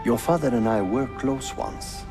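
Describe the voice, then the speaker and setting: An actor voicing a calm, dignified Ottoman prince and uncle in a video game, measured and courteous. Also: medium pitch, natural voice. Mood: grave, confiding. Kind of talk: dramatic video game cutscene acting. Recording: music behind the voice.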